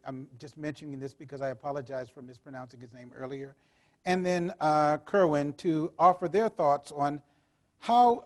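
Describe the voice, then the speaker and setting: A man moderating a panel discussion on a video call, polite and a little hesitant. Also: a little high.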